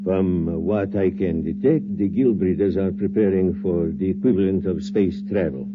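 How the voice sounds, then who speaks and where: A man acting in a 1950s radio drama as a scientist reporting observations, deliberate and measured. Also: sits low, heavy background noise, natural voice. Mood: studious, measured. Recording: very muffled 1950s radio, loud music behind.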